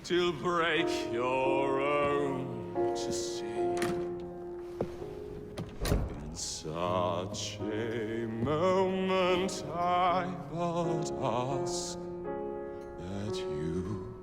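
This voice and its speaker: Slow, theatrical singing Victorian villain. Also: male voice, medium pitch, very animated. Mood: mournful singing. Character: brooding, volatile Victorian gentleman villain singing a sorrowful ballad. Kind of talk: theatrical singing, video game acting. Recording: long reverb, loud music behind.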